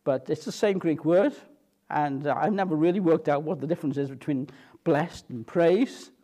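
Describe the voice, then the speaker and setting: A man preaching in a mellow, dark-toned voice that sits a little high for a man. He teaches earnestly and explains patiently, with plenty of halting fillers and restarts, and he slows down for scripture readings. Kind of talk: evening sermon, expository Bible teaching.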